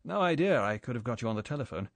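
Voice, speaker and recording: Man as a brisk english agent, matter-of-fact, mid pitch, dry. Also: very animated, no echo. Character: confident English male secret agent, brisk.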